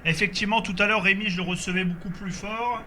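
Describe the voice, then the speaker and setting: A man's voice: sits high, steady tone, fast, sharp tone, a little hiss or hum. A man chatting casually as a radio operator during a group contact, speaking hesitantly and repeating his confirmations.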